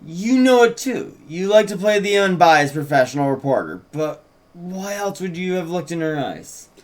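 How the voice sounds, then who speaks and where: A man voicing an eager, insistent woman, a reporter's excitable companion, at a script table read. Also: sits high, normal speed.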